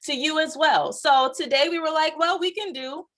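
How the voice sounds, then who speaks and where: A woman's voice: high voice, moderate pace, fairly bright tone. A woman in her twenties hosting a live group call, chatting in an upbeat way and speaking straight to the audience. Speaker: host.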